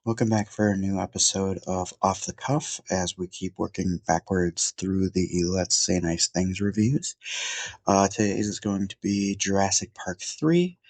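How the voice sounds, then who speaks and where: Deep-voiced man, sniffly and rambling. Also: a little slow, darkish tone, no room sound. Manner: rambling, many fillers and restarts. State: sniffly from a cold. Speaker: film reviewer. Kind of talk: casual off-the-cuff film review. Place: at home.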